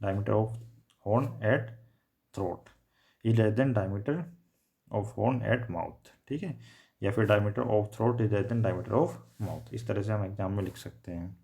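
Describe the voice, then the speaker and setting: Man, lowish pitch, soft dark tone, explanatory lecture, rephrases points, English technical terms mixed in. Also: slow pace, vocal fry. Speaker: teacher.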